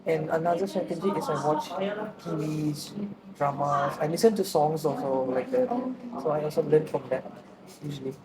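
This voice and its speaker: A man's voice: on the high side, normal speed, crowd noise, rough recording. Hesitant young Malaysian man student. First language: Tamil. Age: young adult. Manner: hesitant, many fillers and restarts. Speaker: interviewee, student. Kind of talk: casual interview answers.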